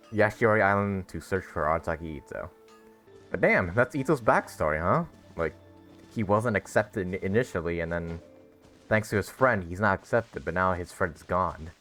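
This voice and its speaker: Low-voiced guy doing a jokey let's play, really animated, faint game music. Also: normal speed. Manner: jokey, reading game text aloud. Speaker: gaming streamer. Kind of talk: casual let's play commentary.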